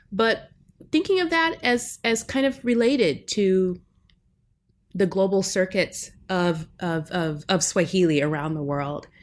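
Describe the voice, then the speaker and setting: A woman linguistics professor talking as a podcast guest in a reflective, academic way, often checking in with "right?". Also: on the low side. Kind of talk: academic interview talk.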